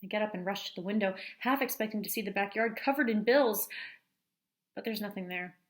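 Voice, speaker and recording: A woman's voice: medium pitch, a little fast, close to an everyday voice. A woman voicing an eager, money-loving eleven-year-old girl who narrates a storybook earnestly and with dramatic emphasis. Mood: dreamy, excited. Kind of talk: storybook first-person narration.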